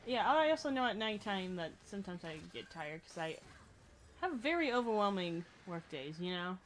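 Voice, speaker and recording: A young woman streaming a game with a friend, chatting casually in a loud, projecting voice. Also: medium pitch, sing-song, moderate pace, slight background noise. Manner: chatty, showing off plushies. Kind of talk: casual chat.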